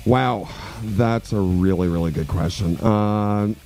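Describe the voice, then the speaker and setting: A man's voice: fairly low pitch, slow, soft background music. A man in his forties from Quebec, a guest musician telling stories in a radio interview, loose and rambling with lots of fillers. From Quebec, Canada. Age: 40s. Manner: relaxed, rambling storyteller with many fillers.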